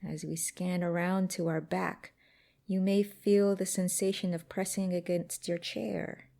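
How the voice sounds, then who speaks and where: A woman leads a guided meditation in a lowish, mellow voice. Her speech has a noticeable creak. She gives each instruction gently and without hurry, leaving space between phrases. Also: flat delivery, a little slow. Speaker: mindfulness exercise guide.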